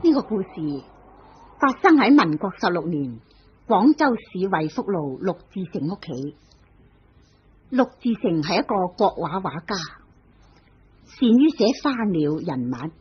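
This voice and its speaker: A woman's voice: fairly low pitch, very animated, unhurried, pauses often, a little hiss or hum. A woman with a Hong Kong Cantonese accent narrating an old radio drama in a storytelling manner. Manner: storytelling, lilting delivery. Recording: dull old radio broadcast.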